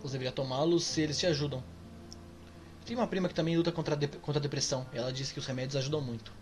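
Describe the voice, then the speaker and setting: A man reading the lines of a caring young woman friend in a game, in a gentle, reassuring tone. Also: on the high side, fairly even intonation, rapid-fire, faint music behind, natural voice.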